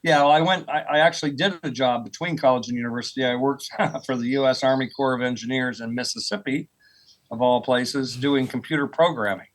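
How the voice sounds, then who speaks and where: An American man in his sixties with a medium-pitched voice speaks as a guest in an interview. He explains himself informally, like a professor chatting, and often checks in with the listener. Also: brisk, poor audio. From United States, rural Oklahoma. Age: 60s. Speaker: guest, earth sciences professor. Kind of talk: conversational interview answers.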